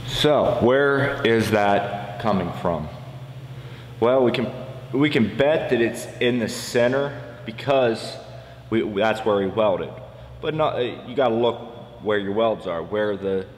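A man's voice: fairly low pitch, slow pace, a little hiss or hum. A man in his thirties giving plain-spoken, practical welding instruction in a large metal shop, recorded on a camera mic some distance away. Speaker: welder demonstrating fabrication. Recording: echoey shop with long reverb.

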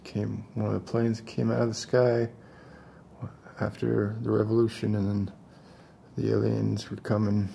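Unstable male survivor acted by a man, ASMR roleplay, dark gravelly tone, halting soft coaxing, rambling, noisy room tone. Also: fairly low pitch, completely flat delivery. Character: unstable male post-apocalyptic survivor, gently coddling his captive.

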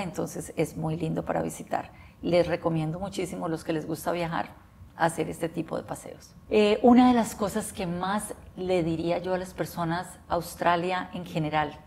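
A Colombian woman in her forties speaks with a low, slightly gravelly voice, sharing her experiences in a bright indoor room. She sounds enthusiastic and keeps pausing with "eh" as she talks. A faint hiss sits under her voice. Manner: enthusiastic, frequent hesitations with eh. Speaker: interviewee. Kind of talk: talking to camera about life abroad. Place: bright office room by large windows, indoors.

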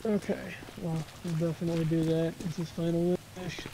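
A man's voice: on the high side, little pitch movement, brisk, mellow. A man speaking casually in character as a player in an online roleplay game. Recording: noisy game voice chat, keyboard typing.

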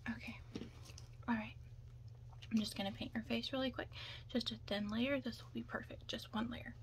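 A young woman doing an ASMR roleplay in whispers and soft talk, hushed, chatty and a little hesitant, recorded close up indoors. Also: a little high, steady tone, moderate pace, a little hiss or hum.

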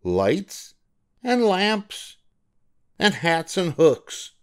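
An older man narrating a children's storybook in a deliberate, expressive read-aloud. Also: high voice, smooth.

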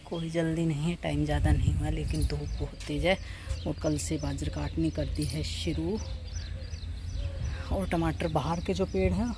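A woman's voice: deep voice, steady tone, moderate pace. A woman, chatting casually to a phone camera while showing her village yard. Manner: chatty, shows things to camera. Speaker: village vlogger. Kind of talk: casual vlog narration. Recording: outdoor noise behind the voice.

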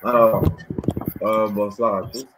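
A man's voice: lowish voice, level tone, slow. A man in his twenties with a Cameroonian accent, explaining things informally as a guest on a webcam call. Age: 20s. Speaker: guest, medical student. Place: indoor room. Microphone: webcam video call. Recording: noisy webcam call audio.

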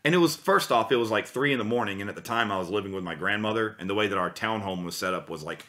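A man in his thirties with a lowish, bright, forceful voice tells a story at length. He rambles and restarts often, keeping it animated and conversational, recorded in a small room. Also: quick pace. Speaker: guest, voice teacher. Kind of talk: casual podcast storytelling. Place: small room with guitars on the wall.

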